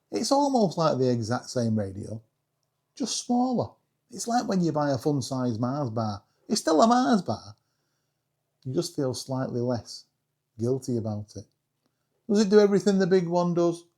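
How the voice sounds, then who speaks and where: A man's voice: fairly high pitch, gravelly, darkish tone. A man presenting a casual, chatty vlog, talking straight to viewers in a self-deprecating, jokey way. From England. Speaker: hobbyist video creator and host.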